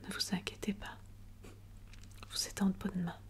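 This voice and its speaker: Woman, ASMR doctor roleplay. Whispered, breathy and even, with a gentle bedside manner. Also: mid-range voice, normal speed.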